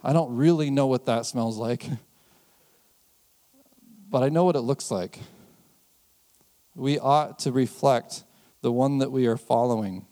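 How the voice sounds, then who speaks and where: American guy preaching, chatty and laughing a lot, slows way down on the big points, middle pitch. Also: mellow. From United States. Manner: conversational, laughs often, slows down on key phrases. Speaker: pastor. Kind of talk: sermon.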